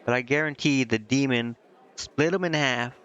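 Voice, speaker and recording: A man's voice: medium pitch, moderate pace, fairly bright tone, clean tone, a faint crowd. A man doing casual live game commentary, informally thinking aloud and reading dialogue out as he goes. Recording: dull, band-limited sound with music. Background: game music.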